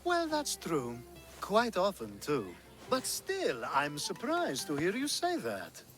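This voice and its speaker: Flirty greek charmer from a game, high voice that swoops around, slow and teasing. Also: male voice, huge pitch swings, a bit bright, soft background music, heavily acted. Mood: breezy. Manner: theatrical, drawn-out, teasing delivery. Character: flamboyant, flirtatious Greek charmer, lovestruck groom-to-be. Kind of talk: video game cutscene acting.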